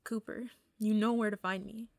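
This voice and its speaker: An actress playing a defiant, impulsive young documentary filmmaker in a radio play, with a direct, insistent manner. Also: female voice, mid-range voice, flat delivery, normal speed, gravelly, darkish tone, close to an everyday voice.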